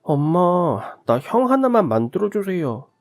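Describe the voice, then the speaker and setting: A man's voice: high-pitched, sing-song, measured pace, voice pushed up, heavily acted. A man voicing whining little children in a read-aloud story. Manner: whining, pleading. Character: little boy and little sisters, whiny children.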